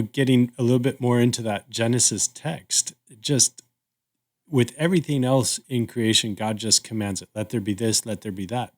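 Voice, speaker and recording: A man co-hosting a casual, reflective discussion of faith, explaining things in a thoughtful, conversational manner. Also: mid-range voice. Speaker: podcast co-host.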